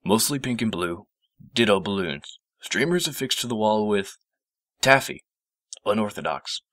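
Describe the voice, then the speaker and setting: A man acts out a grave, self-important old professor from a storybook. The voice is deep and forceful, with gruff, formal phrasing. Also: lively intonation, a little slow, no echo, studio quality. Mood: musing. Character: gruff, pompous, grave older male professor.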